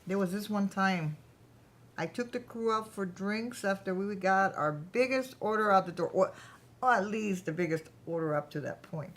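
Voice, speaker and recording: An actress plays a self-assured woman who runs a small company. She is chatty and polished, with a businesslike, self-promoting way of talking. It has the feel of a staged workplace satire, in a mid-pitched voice. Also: normal speed, a bit bright, natural voice. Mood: self-satisfied, chatty.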